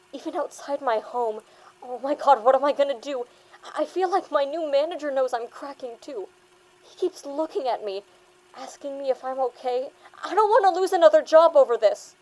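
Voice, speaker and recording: A woman's voice: high-pitched, lively intonation, moderate pace, darkish tone. An actress playing an anxious young British woman in a naturalistic audio drama. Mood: shaken, pleading. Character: anxious young woman doubting her own sanity.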